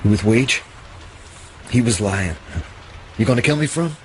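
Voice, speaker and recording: A man's voice: medium pitch, expressive, normal speed, natural voice, low-quality recording. A man playing a tense, curt hustler warily facing a threat in a radio drama. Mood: tense, wary. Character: wary, cornered male hustler questioning an intruder. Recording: rain and faint noise behind.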